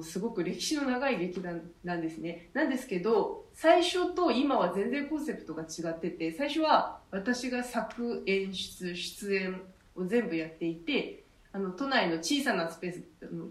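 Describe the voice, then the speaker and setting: A woman's voice: mid-range voice, animated, normal speed. A woman speaking as a theater director and co-host, casually introducing her troupe. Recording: echoey room, distant mic.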